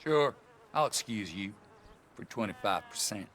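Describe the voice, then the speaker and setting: An actor playing a shifty, smug, stubborn livestock dealer in a western game, dry and insinuating. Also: male voice, lowish voice, expressive, a little slow, a bit bright, a little hiss or hum. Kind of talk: cinematic western game acting.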